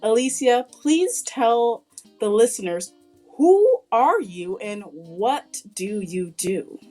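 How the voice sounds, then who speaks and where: Woman podcast host, slow deliberate delivery, higher voice, soft music underneath. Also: sing-song. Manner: slow, deliberate, emphatic introductions. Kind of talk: podcast interview hosting.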